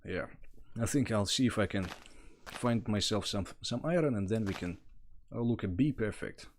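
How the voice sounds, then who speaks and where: A man's voice: lowish voice, normal speed, a little hiss or hum. A young man from Russia with a Russian accent, chatting casually to viewers while playing a game on a livestream. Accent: Russian, mixed with other influences. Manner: relaxed, thinks aloud while playing and thanks viewers. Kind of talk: casual gameplay livestream chat. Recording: faint music behind.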